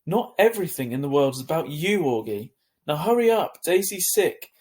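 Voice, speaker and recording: A man's voice: fairly high pitch, sing-song, moderate pace, a little mellow, voice pushed up. A man voicing an urgent, exasperated older sister, a girl in a children's novel, calling out insistently and emphatically. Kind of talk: children's novel read aloud.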